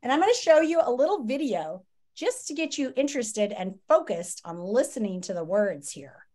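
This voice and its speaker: A woman in her fifties reading a children's nonfiction book aloud in a dramatic, teacherly way with emphatic pauses, on a video call from a room at home. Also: fairly high pitch, big pitch swings, darkish tone. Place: small home room, indoors. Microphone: video call, computer microphone.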